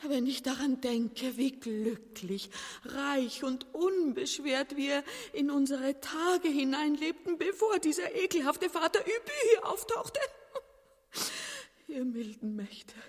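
A woman's voice: high voice, slow. A woman playing a frail, grieving queen mother, lamenting theatrically in a grotesque stage comedy. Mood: wistful, lamenting. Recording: very reverberant hall.

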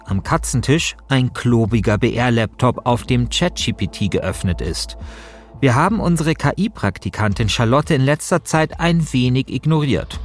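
Podcast host, male, mid-pitched, scripted narration over light music. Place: radio studio.

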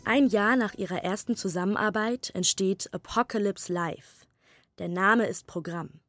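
A woman reading a scripted radio feature narration in a measured, polished way over faint music. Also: mid-range voice, dry recording.

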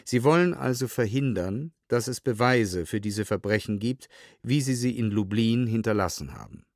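A man's voice: fairly low pitch, animated, moderate pace, no room sound. Man reading documents, very dry studio sound. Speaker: reader of historical documents. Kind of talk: scripted documentary reading for audio edition.

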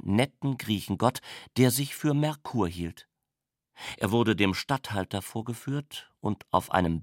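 A man's voice: lowish voice, dry recording, studio quality. A man reading literary prose aloud in a formal manner.

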